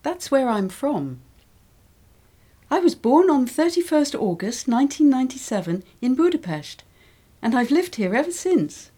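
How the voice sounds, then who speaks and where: Mellow-voiced woman reading lesson texts. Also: a little high. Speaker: reader of English learning texts. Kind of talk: scripted reading of model texts.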